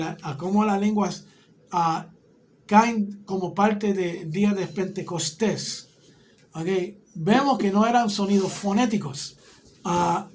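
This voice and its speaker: Un hombre con acento puertorriqueño que enseña una clase bíblica, explicando paso a paso y preguntando "¿ok?" a menudo. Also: male voice, high-pitched. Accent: Puerto Rican Spanish. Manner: explanatory, often checks in with "¿ok?". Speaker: Bible teacher. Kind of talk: teaching a Bible class.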